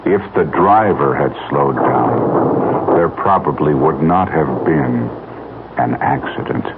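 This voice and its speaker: An American man reading a vintage radio safety announcement in a grave, deliberate way. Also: rumbling low. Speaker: public service announcer. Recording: tinny vintage radio, loud noise behind.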